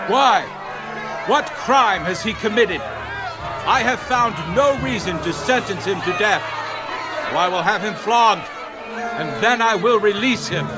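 A man's voice: sits high, a little slow, pauses often. An actor playing an authoritative, exasperated Roman governor in a dramatized scripture, declaiming his judgments in a commanding way. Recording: loud music and crowd noise behind.

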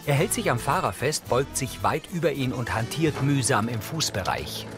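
Casting: male audio description narrator, mid-pitched, plain scripted delivery, clear music behind. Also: animated, moderate pace. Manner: neutral, factual. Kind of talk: scripted narration, present-tense scene description.

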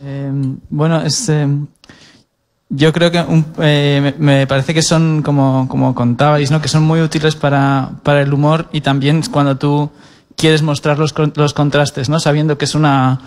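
Hesitant man, flat and slightly high. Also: unhurried. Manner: hesitant, many fillers, frequent tag questions. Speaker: writer on a panel. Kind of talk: panel discussion. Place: stage of a cultural centre auditorium. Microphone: handheld mic.

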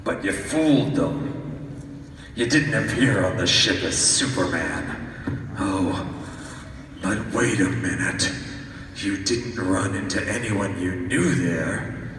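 A man playing a commanding, campy crime fighter, mock-serious and deliberate, in a live comic radio play. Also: sits low, animated, fairly bright tone, full character voice. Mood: urging. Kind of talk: live old-time radio drama performance, played for laughs. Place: large convention hall stage with audience. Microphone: panel microphone through PA, filmed from audience. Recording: echoey convention hall, distant, noisy audience recording.

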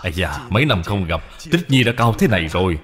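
A man's voice: deep voice, very animated, fast. A man voicing a genial great general, expansive and welcoming, in a cartoon. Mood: fondly impressed. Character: genial great general, hearty older family friend.

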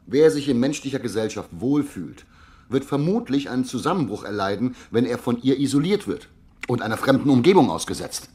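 An actor as a cold, commanding agency chief, reverberant. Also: male voice, mid-range voice, sing-song, fairly quick, darkish tone, lightly acted. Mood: cool, calculating. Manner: curt, calculating, unyielding. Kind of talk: modern radio drama acting. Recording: long reverb, noisy ambience.

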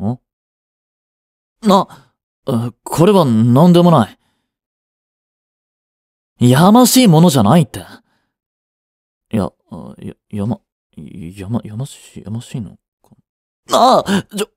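A man's voice: medium pitch, a little mellow, no echo, clean studio sound, heavily acted. A young man acting a curt, flustered boyfriend who hides his affection, with a hesitant, brusque delivery in a romance audio drama. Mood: evasive, flustered, then panicked. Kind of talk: Japanese romance audio drama aimed at women.

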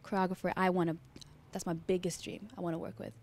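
Norwegian woman in her twenties, native norwegian speaker, excited and gushing, handheld mic indoors. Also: on the low side, fast. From Norway. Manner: excited, gushing, laughing often. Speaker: dancer. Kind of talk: interview answers. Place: indoor lounge at a rehearsal studio. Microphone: handheld mic held by interviewer.

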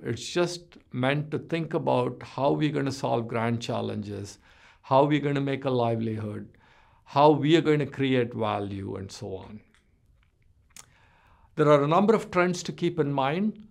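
A man in his sixties delivers a recorded business lecture, his voice gravelly and sitting at an ordinary middle pitch. He explains at a measured pace, letting the ends of his phrases drop away. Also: a little mellow. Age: 60s. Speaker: business professor. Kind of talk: recorded lecture over slides.

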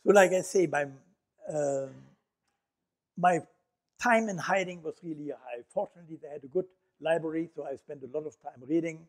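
An older man with a Dutch accent addresses an audience in an auditorium. His voice sits a little high, picked up from a distance. He talks in a reflective, unhurried way, with fillers between his thoughts. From Netherlands. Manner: reflective storytelling with frequent fillers. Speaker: Holocaust survivor speaker. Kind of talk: lecture. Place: auditorium stage.

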